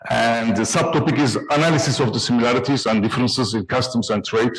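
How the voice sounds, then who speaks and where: Man, Turkish accent, conference presenter, halting delivery, repeated and reworked words, mid-pitched voice. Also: low-quality recording. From Turkey. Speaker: conference presenter, trade consultant.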